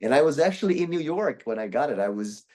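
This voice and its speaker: A man's voice: mid-range voice, expressive, fast talker, darkish tone. A young man from Brazil, chatting as a guest voice actor in a casual interview, often restarting his sentences. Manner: rambling, with many restarts and fillers.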